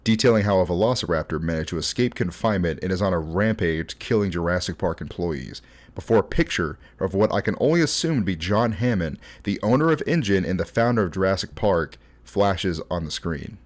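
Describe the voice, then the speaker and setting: Young man, lowish pitch, casual video essay host, conversational, informal commentary. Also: lively intonation, fairly quick. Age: 20s.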